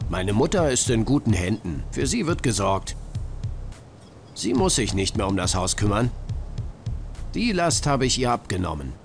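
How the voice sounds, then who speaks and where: An actor playing a smug, callous gym owner and developer in a computer adventure game, curt and dismissive. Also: male voice, on the low side, sing-song, normal speed, long pauses. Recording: game audio with faint music behind.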